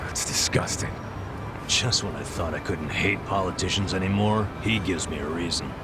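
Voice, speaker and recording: An actor playing a brash, blunt, hot-blooded underdog hero in a video game, talking in an excitable, colloquial way. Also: male voice, deep voice, moderate pace, very noisy, close to an everyday voice. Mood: disgusted. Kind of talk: expressive video game voice acting.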